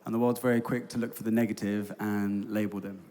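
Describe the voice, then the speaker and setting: A man's voice: lowish voice, little pitch movement, fast talker, dark tone. A man youth ministry leader giving a preacherly conference talk from a stage, building to emphatic peaks. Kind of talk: conference talk, then hosting a panel. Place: large concert hall on stage. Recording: large hall with long reverb.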